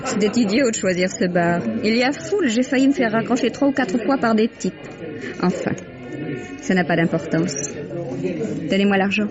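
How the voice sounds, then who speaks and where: A woman's voice: mid-range voice, lively intonation, moderate pace, over loud music, natural voice. An actress playing an impatient, evasive woman on the run in a vintage radio crime drama, curt and defiant. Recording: dull lo-fi vintage radio recording.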